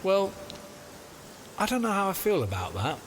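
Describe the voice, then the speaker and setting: A man's voice: high voice, huge pitch swings, moderate pace, slight background noise, exaggerated voice. A man acting as an uneasy, self-doubting boyfriend in an audio drama, protesting in a hesitant, defensive way.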